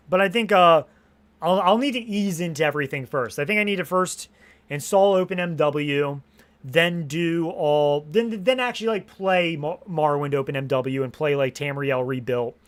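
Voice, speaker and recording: Rambling, swearing young male streamer. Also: a little high. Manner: rambling, restarts sentences, swears casually. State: slightly hoarse from long streaming. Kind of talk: casual live stream chat.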